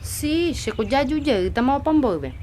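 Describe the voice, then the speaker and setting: An actress playing a lively young daughter in a radio drama who also narrates the story. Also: female voice, sits high, brisk, slight background noise.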